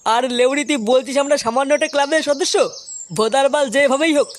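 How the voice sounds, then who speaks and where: A performer voices a blustering young man who bosses his village club in a crude cartoon. The voice is high and fast, scolding and foul-mouthed, on a noisy track. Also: natural voice. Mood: angry, scolding. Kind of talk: crude comic cartoon dub.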